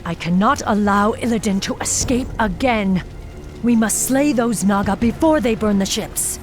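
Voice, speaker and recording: A woman's voice: medium pitch, normal speed, natural voice. A woman playing a stern, resolute night elf warden in a fantasy game, grave, formal and commanding. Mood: urgent, commanding. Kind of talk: fantasy video game voice acting. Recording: faint music under the voice.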